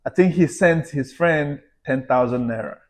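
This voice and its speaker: A man's voice: fairly high pitch, normal speed. Chatty man telling a story. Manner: chatty, tells anecdotes, asks pointed questions. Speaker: podcast co-host. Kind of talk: casual chat.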